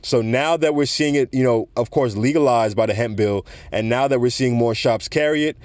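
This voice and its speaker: A deep-voiced man hosting his own podcast, talking in a calm, conversational monologue. He projects his voice so his words carry, with a mid-range pitch for a man and plenty of casual asides. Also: normal speed, dry recording. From South Florida. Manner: projects his voice, relaxed and conversational, fond of "you know". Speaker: podcast host. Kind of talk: casual solo podcast rant.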